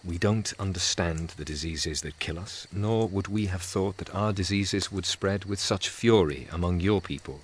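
An actor playing a compassionate English sea captain in a radio drama, sincere and pleading. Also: male voice, deep voice, moderate pace, hardly any pauses, slightly rough. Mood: regretful, earnest.

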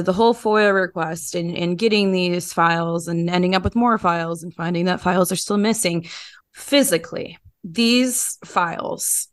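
A woman with a slightly low voice hosts an interview podcast. She puts her questions informally, as in everyday conversation. The sound is very dry, with no room echo. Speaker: podcast host interviewing journalists. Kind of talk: casual interview.

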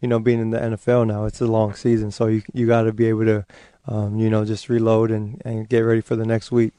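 A man's voice: on the low side, quick pace, clean tone, no echo. A man in his twenties from Hawaii, whose first language was Hawaiian, talking as a guest in a casual, easygoing interview. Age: 20s. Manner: relaxed, easygoing, many fillers. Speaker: football player guest.

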